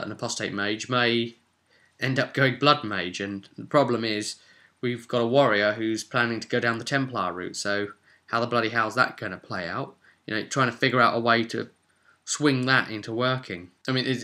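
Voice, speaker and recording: British man, bright forceful voice, chatty. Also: medium pitch. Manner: chatty, thinking aloud. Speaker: tabletop game master and vlog host. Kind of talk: casual unscripted rambling monologue.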